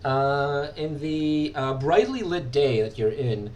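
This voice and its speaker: A man narrating a tabletop game as game master, halting and full of fillers. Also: a little high, lively intonation, a little mellow, far from the mic.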